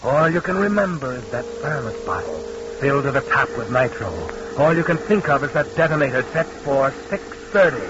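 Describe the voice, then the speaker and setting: An actor playing an ominous, taunting narrator of a 1940s radio suspense drama, with terse dramatic delivery. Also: male voice, medium pitch, very animated, fairly quick, noisy background, close to an everyday voice. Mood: taunting, intense. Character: ominous narrator taunting a guilty, nervous man. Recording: muffled vintage radio recording with loud music.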